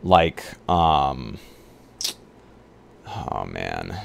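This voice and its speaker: A man streamer chatting casually on a livestream in a halting way, often restarting his sentences. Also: very deep voice, very animated, gravelly, highs cut off.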